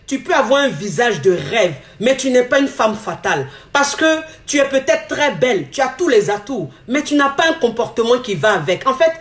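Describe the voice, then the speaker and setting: A woman's voice: fairly high pitch, big pitch swings, moderate pace, hardly any pauses. Emphatic coaching woman. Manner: emphatic, repeats phrases, gestures a lot while talking. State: slightly hoarse from a cold. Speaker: relationship coach. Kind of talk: animated advice monologue to camera. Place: indoor room. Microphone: phone camera at arm's length. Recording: echoey room on phone.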